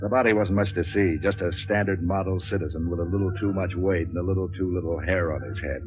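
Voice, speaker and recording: An actor playing a cynical, wisecracking private investigator in deadpan, sardonic 1940s radio noir style. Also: male voice, low-pitched, fast talker, close to an everyday voice. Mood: wry narration. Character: cynical, wisecracking hard-boiled male private investigator narrating his case. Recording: muffled old 1940s radio recording, music.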